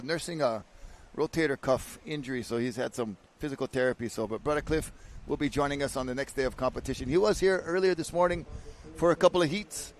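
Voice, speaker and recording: A man from Hawaii, giving relaxed live surf contest commentary and slipping into local pidgin slang. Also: on the high side. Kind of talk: live sports commentary, casual banter.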